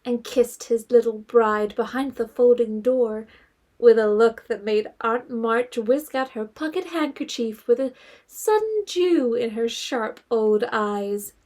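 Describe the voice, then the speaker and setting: A woman's voice: fairly high pitch, slow, darkish tone. A woman reading scripted literary narration for an audio drama.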